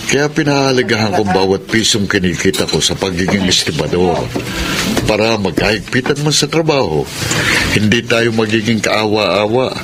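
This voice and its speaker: A low male voice from an actor plays an earnest, careful-spending labourer in a radio drama. He talks in a measured way over a loud crowd bed. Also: lively intonation, lightly acted, rough recording. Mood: earnest, prudent. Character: prudent, hard-working dock labourer, husband and father. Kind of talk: Filipino radio drama acting.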